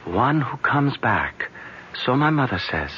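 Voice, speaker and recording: A man playing a grave, urgent villager in old-time radio drama, with an ominous, deliberate, theatrical delivery. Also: mid-range voice, very animated. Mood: hushed, earnest. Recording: muffled, noisy old radio recording.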